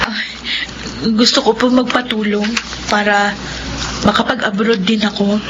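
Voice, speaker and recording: An actress playing a determined, grieving twenty-year-old orphaned eldest sister in an emotional Filipino radio drama. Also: female voice, mid-range voice, flat delivery, measured pace, highs cut off, lightly acted. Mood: respectful, hopeful. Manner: earnest, emotional. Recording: noisy radio drama track.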